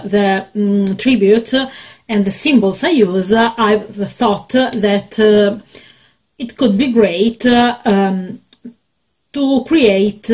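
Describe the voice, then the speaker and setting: Slow, hesitant Italian-accented woman. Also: mid-range voice, darkish tone. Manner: slow, hesitant, many fillers. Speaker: makeup tutorial host. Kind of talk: casual face painting tutorial. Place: small bedroom, indoors.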